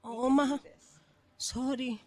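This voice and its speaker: A woman's voice: high-pitched, steady tone, extremely slow. A woman playing a timid, guilty young daughter in a radio drama, hesitant and apologetic. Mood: guilty, nervous. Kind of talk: Filipino radio drama acting.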